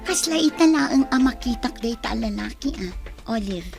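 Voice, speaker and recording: A woman's voice: fairly high pitch, lively intonation, moderate pace, creaky, loud music behind the voice. A woman playing a smitten, chatty young female student in an Ilocano radio drama. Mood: chatty, playful.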